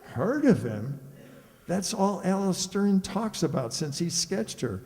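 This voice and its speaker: A man performs a breezy, boastful bachelor from a vintage radio comedy. His voice is soft-edged and a little high, with very lively intonation as he teases. A long hall reverb surrounds it. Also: takes it slowly, heavily acted. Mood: playful exaggeration. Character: boastful, girl-crazy bachelor pal, breezy and teasing. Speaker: amateur radio player. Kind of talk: old-time radio comedy acting.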